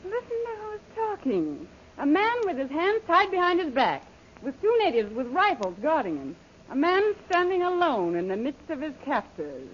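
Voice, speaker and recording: A woman's voice: high-pitched, huge pitch swings, moderate pace. An actress playing a haughty, mocking, imperious villainess who commands her henchmen in an old-time radio adventure serial. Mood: scornful, commanding. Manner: imperious, sardonic. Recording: muffled, noisy old radio recording.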